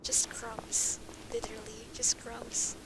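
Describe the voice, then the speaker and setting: High-voiced, rambling woman game streamer. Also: monotone, takes it slowly, in a whisper. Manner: rambling, reactive, repeats words, giggly. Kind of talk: casual gameplay commentary. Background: loud game music.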